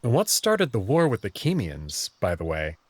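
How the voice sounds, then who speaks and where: A man's voice: fairly low pitch, wildly swooping pitch, a little slow, breathy edge, no echo. A man reading first-person visual novel narration and dialogue as the story's protagonist.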